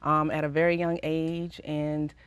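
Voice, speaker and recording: A woman recording artist with a raspy voice, answering interview questions casually and reflectively, often pausing with ums. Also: sits low, little pitch movement. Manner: reflective, pauses with frequent ums.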